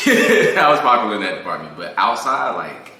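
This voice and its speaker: A man with a low-pitched, very bright, forceful voice talks casually in a strongly echoing room. He is candid and slangy and laughs easily, with a faint noise behind him. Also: very animated, moderate pace. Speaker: singer-songwriter, interview guest. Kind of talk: casual chat interview.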